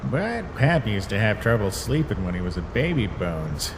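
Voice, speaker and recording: A man's voice: lowish voice, big pitch swings, moderate pace, noisy background. A man voicing a laid-back, guarded skeleton big brother in a fan comic dub, with a casual drawl. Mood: gently teasing.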